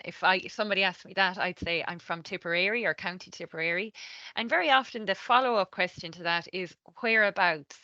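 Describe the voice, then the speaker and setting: An Irish woman runs an online training over a video call, explaining points patiently and filling gaps with "um" and "you know." Her voice sits a little low yet sounds bright and very clear. The call audio is dry and slightly muffled. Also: dry recording. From Ireland. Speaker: cultural trainer.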